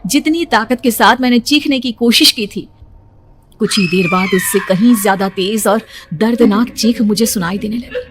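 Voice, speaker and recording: A young woman narrating a horror cartoon story in the first person, hushed and suspenseful. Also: a little high, normal speed, a little hiss or hum. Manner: hushed, suspenseful, rising to frightened.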